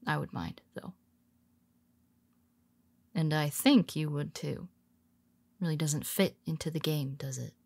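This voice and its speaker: A woman's voice: low voice, slow, creaky, pauses often, no room sound, full character voice. A woman playing a tired, teasing superhero. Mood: wry teasing. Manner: teasing, soft-spoken, slips into whispers. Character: weary teasing female superhero, nemesis. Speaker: audio roleplay performer. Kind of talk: intimate audio roleplay.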